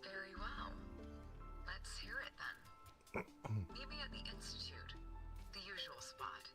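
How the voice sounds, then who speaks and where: An actress playing a brisk, skeptical female academic with a dry, no-nonsense manner. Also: medium pitch, big pitch swings. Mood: curt. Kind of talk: game voice acting. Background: game music.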